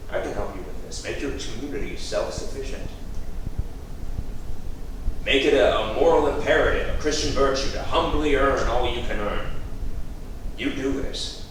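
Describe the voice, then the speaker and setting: A man's voice: medium pitch, a little hiss or hum, close to an everyday voice. A man playing a scheming, grumbling politician. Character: scheming paranoid male politician, middle-aged. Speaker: actor in a staged reading. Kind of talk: staged play reading. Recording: echoey room from far off.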